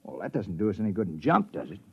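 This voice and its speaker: An American man playing a gruff, grumbling, worried ship's medical orderly in 1950s radio style. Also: fairly low pitch, very animated, quick pace, heavily acted. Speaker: actor in a radio drama. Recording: muffled vintage radio recording.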